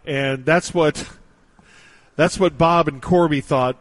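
A man's voice: a little high, takes it slowly. A man hosting a sports radio show, chatting casually with an easygoing, chuckling manner.

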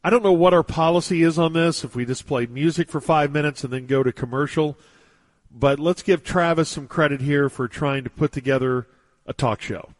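A man's voice: fairly high pitch, a little fast. A man hosting a sports radio show, chatting casually with an easygoing, chuckling manner.

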